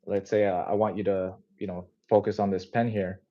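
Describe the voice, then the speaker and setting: Low, mellow-voiced male podcast host. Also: flat delivery, fairly quick. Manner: rambling, many fillers and restarts. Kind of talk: casual interview chat. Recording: dull muffled lo-fi audio.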